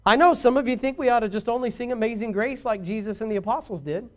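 Man, pastor; high-pitched voice, preachy and emphatic, swinging between soft asides and loud calls. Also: quick pace. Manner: preachy, rising to emphatic declarations. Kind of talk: sermon.

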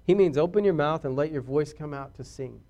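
A pastor preaching a sermon, shifting from quiet confiding asides to loud emphatic declarations. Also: male voice, a little high, fairly quick, dull audio.

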